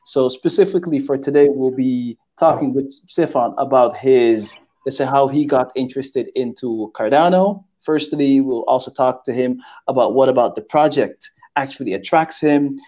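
A man hosting a podcast, introducing things informally in a careful, halting way. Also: mid-range voice, mellow. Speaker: podcast host.

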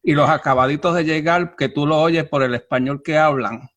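A man explains things in a lively, chatty way. His voice sits a bit higher than most men's. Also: moderate pace. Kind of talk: casual chat.

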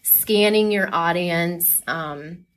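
A woman talking casually and enthusiastically as a coach being interviewed, chatty and quick to laugh. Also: on the low side, fairly bright tone, poor audio.

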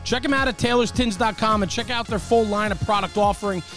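A man reading a sponsor ad in an upbeat pitchman delivery. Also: fast talker, a bit bright, soft background music. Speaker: ad read announcer. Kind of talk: read sponsor ad.

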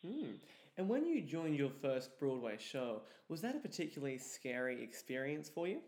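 A man's voice: medium pitch, big pitch swings, normal speed, darkish tone. A man, hosting an interview and asking prepared questions. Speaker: interview host.

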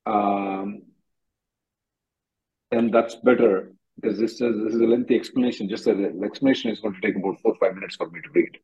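A man's voice: fairly low pitch, steady tone, brisk, a little mellow, husky. A man working as an immigration attorney, bluntly advising callers on a conference call and repeating himself for emphasis. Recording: dull lo-fi conference call audio.

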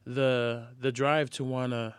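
A man speaking earnestly and in a measured way in a casual podcast conversation, often checking in with listeners. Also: mid-range voice, little pitch movement, smooth, no echo. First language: English.

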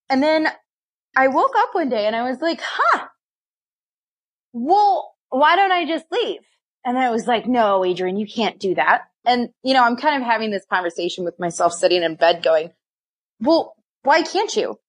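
A young woman talks as a guest on a podcast, telling stories about her past in a relaxed, chatty way. She laughs often and slips into short bits where she acts out what she once said to herself. Her voice sits a little high. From raised in many countries, partly Scotland and Nevada. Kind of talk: casual storytelling.

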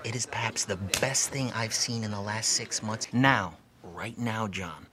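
A man playing a slick stock pitchman. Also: unhurried, crowd noise, close to an everyday voice. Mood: hard-selling. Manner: hyped, persuasive sales pitch. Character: hard-selling male penny-stock pitchman on a call. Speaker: stock salesman in a film clip.

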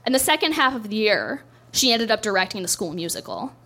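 A woman's voice: medium pitch, big pitch swings, brisk, sharp tone. A young woman doing a stand-up set, telling a story in a dry, self-deprecating way on a club stage. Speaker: stand-up comedian.

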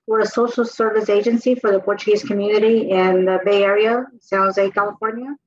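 A woman's voice: mid-range voice, fairly even intonation, a little fast, a little hiss or hum. Polite woman from Angola, muffled audio. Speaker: social service worker. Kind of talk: self-introduction.

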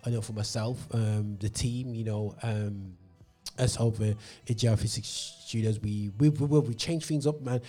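Mellow-voiced gospel radio host. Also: male voice, fairly low pitch, fairly even intonation, moderate pace, soft background music. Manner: passionate, ranting, many fillers. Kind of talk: opinionated radio monologue. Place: studio.

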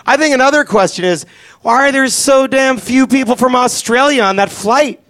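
A man's voice: very high voice, moderate pace, fairly bright tone. A man hosting a live podcast, chatting casually and joking sarcastically with an audience in the room. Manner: jokey, sarcastic. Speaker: podcast host.

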